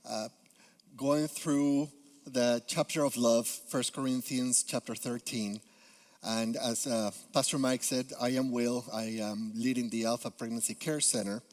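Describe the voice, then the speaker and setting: A man's voice: medium pitch, fairly even intonation, unhurried. A Peruvian man in his fifties with a Spanish accent, preaching earnestly as a guest speaker with frequent "uh" fillers. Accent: Spanish-accented English. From Peru. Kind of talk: sermon. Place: church sanctuary. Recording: long hall reverb.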